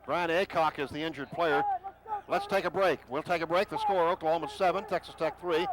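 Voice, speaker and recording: A middle-aged man calling a football game for radio, quick play-by-play that jumps to shouts on big plays. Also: on the high side, a crowd in the background. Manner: rapid excited calls rising to shouts on big plays. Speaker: play-by-play announcer. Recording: noisy stadium radio broadcast.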